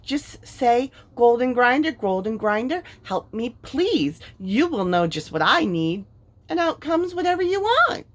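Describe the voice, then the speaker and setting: An eager, innocent little boy mouse from a storybook, acted by a woman. The voice is pitched well up, chirpy and helpful. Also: big pitch swings, measured pace, slight background noise. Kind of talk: storybook read-aloud character voice.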